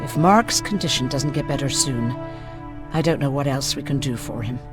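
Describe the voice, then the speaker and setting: A man's voice: medium pitch, moderate pace, music in the background, lightly acted. A man playing a resolute, weary survivor in a video game, speaking in an earnest, dry manner. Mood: resolute, concerned.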